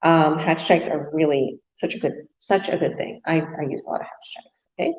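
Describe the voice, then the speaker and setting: A woman's voice: low voice, a little fast, darkish tone. A Canadian woman hosting a casual live stream, chatting enthusiastically and laughing often. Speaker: host. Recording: dull lo-fi stream audio.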